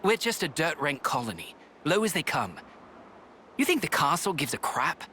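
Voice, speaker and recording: A man with a British accent voicing a cynical, gossipy, complaining low-ranking soldier in a video game. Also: big pitch swings, moderate pace, bright, forceful tone, slight background noise. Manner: colloquial, complaining. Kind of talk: scripted video game voice acting.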